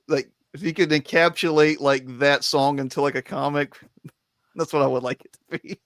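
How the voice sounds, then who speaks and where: A man's voice: fairly high pitch, fairly even intonation, normal speed, a bit bright, no room sound, clean studio sound. A man hosting a casual roundtable stream, rambling with lots of fillers and frequent laughs. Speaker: host of a comics stream. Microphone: remote stream mic.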